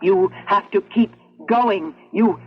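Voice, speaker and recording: An actress in a 1970s radio drama playing an eerie, insistent female voice of sentient metal, deliberate and coaxing. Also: medium pitch, soft background music. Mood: ominous. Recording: dull lo-fi 1970s radio broadcast.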